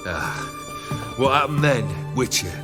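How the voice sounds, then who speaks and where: A man's voice: mid-range voice, expressive, slow. An actor playing a world-weary, cursed nobleman who talks with bitter, sardonic humor, with a game score behind him. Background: game music score.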